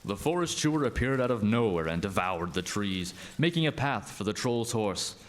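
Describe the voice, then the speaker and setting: A man's voice: on the low side, animated, normal speed. A man narrating a scripted folk tale as a storyteller.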